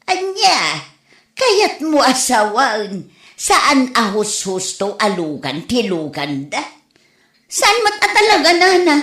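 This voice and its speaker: A woman's voice: sits high, fairly bright tone, roomy sound, exaggerated voice. A woman with an Ilocano accent playing a boastful, easily offended village woman in a comedy audio drama, exclamatory and teasing.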